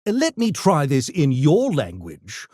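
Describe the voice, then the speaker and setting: Pompous genteel gremlin for a game: unhurried, theatrical, extremely swooping intonation, mischievous politeness. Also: male voice, on the high side, huge pitch swings, mellow, slightly rough, dry recording, clean studio sound, full character voice. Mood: playful, mischievous. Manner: posh, pompous, theatrical, mock-polite diction. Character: urbane genteel mischievous gremlin, a genetically engineered intellectual creature. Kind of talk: video game character voice lines.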